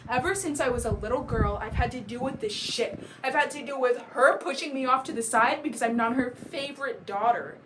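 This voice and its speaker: A woman's voice: on the high side, brisk, hardly any pauses, pitched above the performer's own voice, natural voice. A young woman playing a bitter, wounded, neglected daughter in an emphatic, aggrieved stage soliloquy. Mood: hurt, resentful. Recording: clearly noisy amateur recording.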